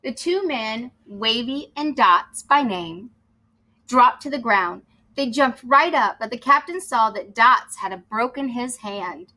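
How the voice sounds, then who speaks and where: Female storyteller for kindergarten read-aloud: expressive, explanatory, slow-paced, bright and slightly high. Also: poor audio. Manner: explains to young listeners as she reads. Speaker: teacher reading a picture book aloud.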